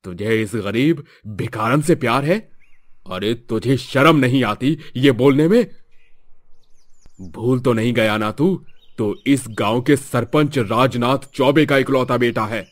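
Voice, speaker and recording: A man's voice: medium pitch, a little slow, natural voice. A man voicing a domineering, scornful older village headman and father in a moral-story cartoon, with a scolding, haughty manner. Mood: angry scolding.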